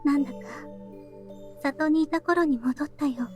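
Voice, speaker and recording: A woman playing a quiet, weary, affectionate young woman in a visual novel, speaking softly and without hurry. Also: sits high, brisk, lightly acted. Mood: sleepy, tender. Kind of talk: Japanese visual novel voice acting. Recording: background music under the voice.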